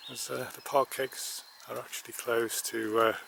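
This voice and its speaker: A man's voice: medium pitch, little pitch movement, normal speed, gravelly, a bit bright. A man from Wales, vlogging casually as he cycles, rambling with many hesitations but chatty and polite, recorded outdoors on a handheld camera mic. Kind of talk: casual vlog narration. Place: outdoors by a road and in a country park. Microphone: handheld camera mic, partly taped over. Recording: outdoor camera audio with traffic and wind noise.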